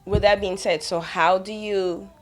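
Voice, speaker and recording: A woman's voice: medium pitch, steady tone, measured pace. Woman host asking easy questions. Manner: short interjections and open questions. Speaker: podcast host. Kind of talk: casual interview.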